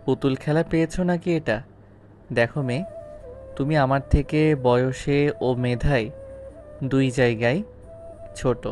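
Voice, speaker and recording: A man's voice: mid-range voice, steady tone, normal speed, pauses often, darkish tone, soft background music, lightly acted. A man playing a blunt, reluctant schoolteacher groom in an audio drama, speaking in a firm, lecturing way. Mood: stern, scolding. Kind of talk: Bengali audio story acting.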